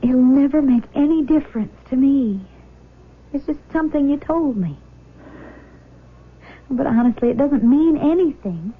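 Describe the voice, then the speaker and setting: An actress playing a shaken but loving nineteen-year-old daughter in a 1970s radio drama, halting and exclaiming. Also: female voice, high voice, lively intonation. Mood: tender, shaken. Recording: dull lo-fi old radio broadcast.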